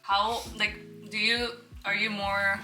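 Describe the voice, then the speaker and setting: A woman's voice: medium pitch, flat delivery, slow, far from the mic. Chatty young Japanese-native woman host. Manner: chatty, mixes Japanese and English. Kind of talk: casual vlog interview. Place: home, indoors. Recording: echoey room with music underneath.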